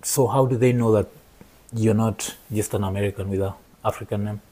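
Guy asking the questions, kinda husky mellow voice, chatty and curious. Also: on the low side, steady tone, fairly quick. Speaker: interviewer. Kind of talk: casual conversation.

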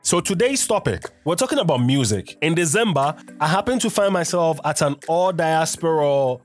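A man's voice: on the high side, sing-song, moderate pace, over music. A man with a Ghanaian accent hosting a podcast, talking straight to his listeners in a chatty way with little asides. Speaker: podcast host. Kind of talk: casual podcast monologue.

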